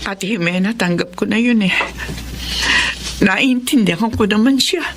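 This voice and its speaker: A woman's voice: mid-range voice, unhurried, close to an everyday voice. A Filipino woman playing a remorseful middle-aged mother in an emotional, pleading radio drama style. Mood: resigned. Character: remorseful long-absent mother begging her children's forgiveness. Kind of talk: Tagalog radio drama acting. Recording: music under the voice.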